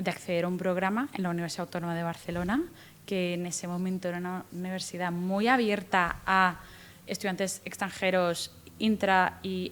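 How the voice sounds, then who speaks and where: Low-voiced Guatemalan woman with faint accent. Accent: light Guatemalan, mostly Spanish from Spain. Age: 30s. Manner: thoughtful, many restarts and fillers, lively rises when retelling. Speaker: guest, in-house lawyer. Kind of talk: interview answers.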